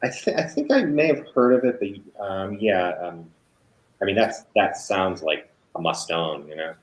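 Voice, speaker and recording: A man talking as an author guest in a casual interview, halting with many fillers and restarts. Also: sits low, animated, rough recording.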